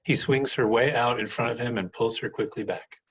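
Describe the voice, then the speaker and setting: A man with a husky voice reading stage directions as scripted narration for a play. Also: mid-range voice, brisk, a bit bright, lo-fi sound.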